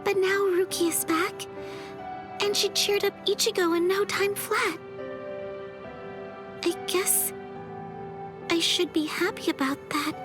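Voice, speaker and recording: A woman voicing a sweet, insecure teenage schoolgirl in an anime game, speaking hesitantly and earnestly. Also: very high-pitched. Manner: hesitant, earnest, self-deprecating. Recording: music behind the voice.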